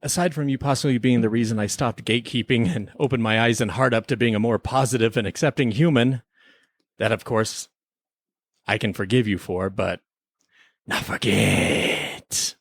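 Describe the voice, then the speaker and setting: A man with a slightly lower-pitched voice hosts a podcast on a close, dry mic. He speaks with teasing mock formality, as if running a playful tribunal. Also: normal speed, no echo. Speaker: podcast host. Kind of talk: semi-scripted mock-tribunal podcast banter.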